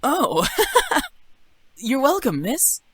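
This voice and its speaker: A woman voicing a boyish, playful young male incubus in a visual novel game, teasing and quick to bristle. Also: sits high, very animated, breathy edge, no echo, full character voice. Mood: shy.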